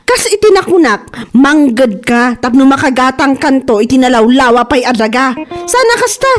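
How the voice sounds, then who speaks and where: Woman acting as long-suffering wife, very high voice, Filipino accent, plaintive mother, radio comedy. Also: very animated, normal speed. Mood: indignant.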